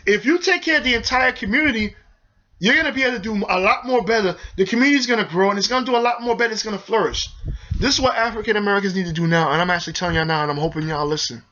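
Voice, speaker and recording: A man's voice: sits high, racing, pushed and bright. A man ranting heatedly to his viewers in a vlog, preachy and repetitive, calling on them directly as y'all. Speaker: video host. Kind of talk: impassioned vlog rant to viewers. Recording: dull lo-fi sound.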